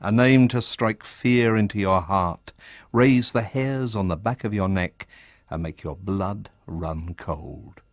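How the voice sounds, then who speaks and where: A man's voice: lowish voice, dry recording, clean studio sound. A man presenting a radio arts documentary in dramatic scripted narration. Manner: dramatic, slow-paced narration.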